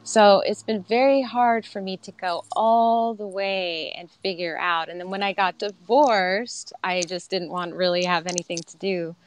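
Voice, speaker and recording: A woman in her forties telling a candid, reflective personal story in a soft voice on a video call from home. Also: medium pitch, steady tone, unhurried, few pauses. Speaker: guest. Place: home room, indoors.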